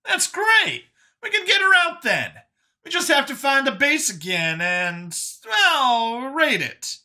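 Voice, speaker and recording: A man voicing a folksy, excitable older fugitive who lives in a youthful body, rambling as he talks in an audiobook story. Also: sits high, moderate pace, pitched above the performer's own voice, exaggerated voice. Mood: excited.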